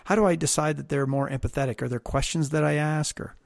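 Man, Canadian accent, host, fairly high voice, gravelly, chatty, questioning, dry recording. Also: fairly even intonation, quick pace, no room sound. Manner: chatty, anecdotal, many restarts. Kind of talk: casual conversational interview.

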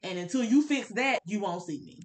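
A woman's voice: medium pitch, expressive, fairly quick. A woman co-hosting a podcast, casually discussing books in a candid, opinionated way with blunt, colloquial phrasing. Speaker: podcast co-host.

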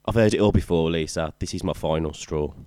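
A man's voice: deep voice, brisk, a little mellow, no room sound, studio quality, natural voice. A man with a British accent playing a stern, reproachful shop manager in an audio drama, firm and lecturing.

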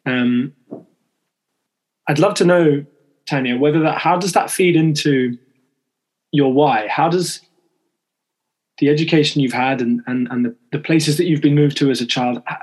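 Man, podcast host, slightly high pitch, restarts his questions. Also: unhurried, long pauses, breathy edge. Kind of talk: casual interview hosting.

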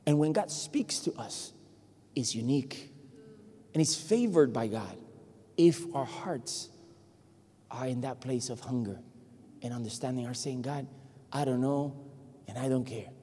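A man from Puerto Rico, a native Spanish speaker, delivers an animated sermon and jokes with his congregation. His voice is warm and mellow, at a middling pitch. The sound carries a long reverb, as in a large church hall. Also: breathy edge. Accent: Puerto Rican Spanish. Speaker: preacher. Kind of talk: lively sermon.

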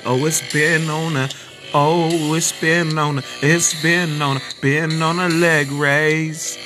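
A man with a scratchy, raspy voice sits fairly high and drags each line out slowly. He croons and raps loosely over a loud backing beat that plays right under him. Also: flat delivery, music in the background. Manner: crooning, drawn-out sung lines with loose ad-libs. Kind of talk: freestyle singing and rapping over a beat.

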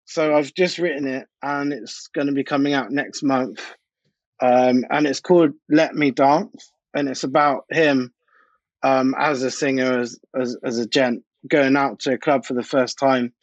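A man's voice: medium pitch, fairly even intonation, unhurried, dry recording. Male interview guest, informal slangy chat, close and dry, slightly muffled lo-fi sound. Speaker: DJ and music producer, interview guest.

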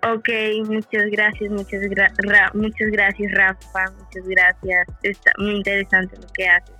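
An interview host guides the talk in a halting manner. The delivery is flat and the tone very bright, at a middle pitch. Faint music plays behind. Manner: halting, repeats thanks. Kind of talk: hosting an interview.

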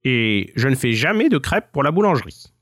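A man's voice: medium pitch, very animated, moderate pace, a bit bright, no room sound, clean studio sound, voice pushed up. A man voicing a plain-spoken, apologetic village baker in a children's tale. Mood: defensive. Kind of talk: children's storybook dialogue.

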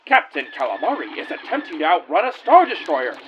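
A man's voice: fairly high pitch, huge pitch swings, brisk, dull audio, slight background noise. A man playing a dramatic serial narrator, delivering scripted space adventure narration full of suspense and rhetorical questions. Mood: suspenseful.

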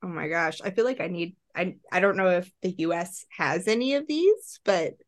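A woman's voice: on the low side, lively intonation, normal speed. A woman chatting casually as the co-host of an interview podcast. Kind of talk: casual chat.

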